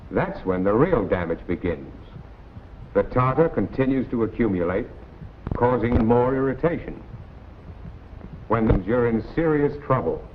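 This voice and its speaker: An American man narrating a 1940s instructional film in a plain-spoken, colloquial, admonishing way. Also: mid-range voice. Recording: tinny, noisy 1940s film soundtrack.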